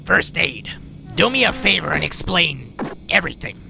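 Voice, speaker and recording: A man playing a grumpy, sardonic veteran robot medic in an audio drama, with a gravelly voice and a dry, blunt manner. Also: fairly high pitch, very animated, moderate pace, noisy background, full character voice. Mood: deadpan. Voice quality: gravelly, creaky.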